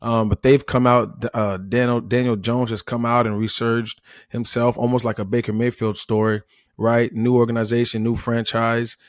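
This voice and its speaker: A man's voice: medium pitch, level tone, normal speed, no room sound, clean studio sound. An American man analysing football off the cuff as a former player, emphatic and often checking in with "right?".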